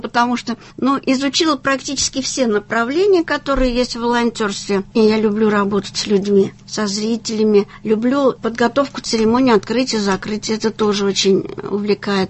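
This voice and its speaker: A woman in her sixties from Tatarstan in Russia, talking enthusiastically and at length in a conversational interview as a guest. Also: on the high side. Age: 60s. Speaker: senior volunteer, interview guest.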